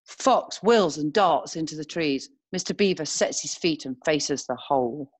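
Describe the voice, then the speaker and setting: A woman's voice: low voice, animated, a little slow, breathy edge. A woman narrator reading scene descriptions as scripted screenplay narration.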